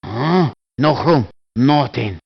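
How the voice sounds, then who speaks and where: Male game mercenary: sparse, very slow one-word barks, husky voice, dull low-rate audio. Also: animated, heavily acted. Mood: terse. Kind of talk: clipped video game voice barks.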